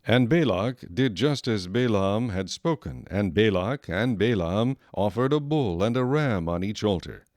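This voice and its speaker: Low-voiced man narrating scripture. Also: expressive, darkish tone, no room sound, clean studio sound. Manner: measured, solemn storytelling.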